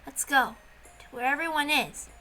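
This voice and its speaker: Woman as a wistful, high-voiced young girl. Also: normal speed, bright, forceful tone, soft background music, low-quality recording. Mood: dreamy resolve. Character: lonely, dazed young girl telling her story. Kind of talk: first-person visual novel narration.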